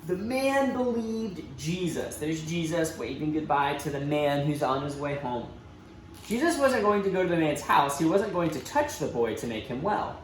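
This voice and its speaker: Male children's storyteller, lively and dramatic, roomy echoey sound. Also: on the high side, moderate pace. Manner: expressive, dramatic emphasis with asides to young listeners. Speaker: church children's storybook reader. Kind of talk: animated read-aloud storytelling for children. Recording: roomy echo, faint background noise.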